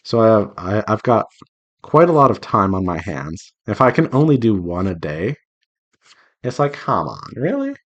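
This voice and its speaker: Low-voiced man with swooping, animated pitch. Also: normal speed, muffled recording. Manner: playful, talks to himself and viewers. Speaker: visual novel developer streaming while drawing. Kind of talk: casual rambling chat while drawing.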